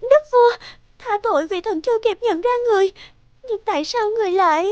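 A woman voicing a kind-hearted, timid young peasant girl in a fairy tale cartoon, gentle and earnest. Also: extremely high pitch, a little fast, no echo, lo-fi sound. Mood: startled, humble. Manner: gentle, earnest, easily startled.

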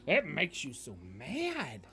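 A woman's voice: low-pitched, wildly swooping pitch, takes it slowly, vocal fry, a crowd in the background. A woman talking casually and playfully to a pet, teasing it with questions and repeated phrases. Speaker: pet owner filming a parrot. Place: indoors in a wood-paneled room at home. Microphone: handheld camera or phone behind the lens. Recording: noisy home recording with animal sounds.

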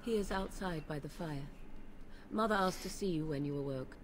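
A woman's voice: low voice, moderate pace, noisy background, lightly acted. An actress playing an aloof, sardonic young witch of the wilds in a fantasy game, speaking with haughty, archaic formality. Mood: dry, dismissive. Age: young adult. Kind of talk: fantasy video game acting.

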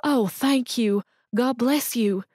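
A woman's voice: mid-range voice, moderate pace, darkish tone, no echo, clean studio sound, pitched above the performer's own voice, lightly acted. A woman voicing a desperate jobless mother who pleads through tears, her voice breaking. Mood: tearful. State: crying.

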